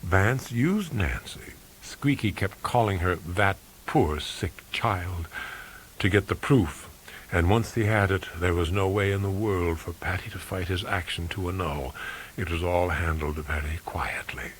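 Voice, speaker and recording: A man voicing a staunch, efficient, reserved career woman in an audiobook story, precise and measured. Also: deep voice, slight background noise, poor audio. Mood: measured, reporting.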